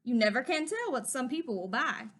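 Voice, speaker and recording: A woman's voice: sits high, sing-song, fairly quick, fairly bright tone, full character voice. A woman voicing a greedy, boastful businessman who brags in a brash, salesy way.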